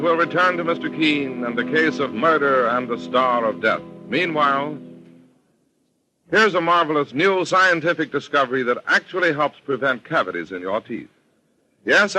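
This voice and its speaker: High-pitched, punchy American male radio announcer. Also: bright, forceful tone, no echo, low-quality recording. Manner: emphatic, salesmanlike. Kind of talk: 1940s radio commercial announcing.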